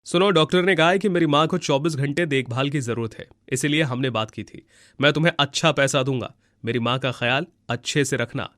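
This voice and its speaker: A man's voice: medium pitch, fairly quick, fairly bright tone, no room sound, studio quality, close to an everyday voice. A man playing a polite, earnest and caring grown son and employer in a devotional story. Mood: concerned. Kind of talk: Hindi story acting.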